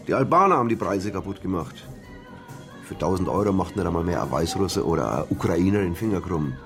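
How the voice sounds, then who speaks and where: An actor playing a sly, evasive nightclub manager in a radio drama. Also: male voice, sits low, animated, a little fast, music in the background. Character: evasive, smirking male nightclub manager in Czech border region. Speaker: club manager. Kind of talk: naturalistic radio drama acting.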